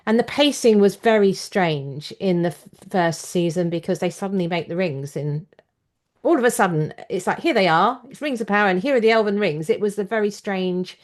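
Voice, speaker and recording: A woman's voice: medium pitch, fairly quick. A woman hosting a conversational interview, explaining her views in an analytical way. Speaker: podcast host and interviewer. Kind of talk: conversational book and screen discussion.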